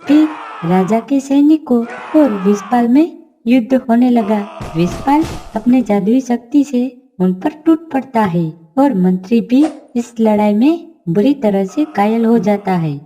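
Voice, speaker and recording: A woman's voice: a little high, animated, unhurried, mellow, highs cut off, faint music behind. A woman narrating a scripted children's bedtime fairy tale. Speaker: story narrator.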